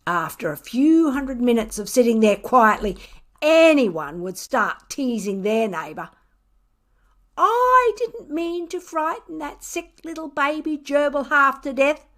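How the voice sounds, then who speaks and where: Woman voicing a grumpy cat, slow and very expressive. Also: high-pitched, voice pushed up, heavily acted. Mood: indignant, then feigned innocence. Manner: indignant, self-justifying, drawn-out emphasis. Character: grumpy, sardonic, unrepentant pet cat telling his story. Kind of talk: expressive children's storybook reading.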